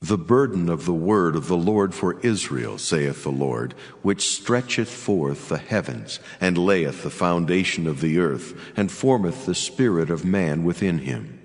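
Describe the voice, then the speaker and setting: A man giving a dramatic narration of scripture as a solemn, measured proclamation. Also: deep voice, expressive. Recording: long reverb, music bed behind.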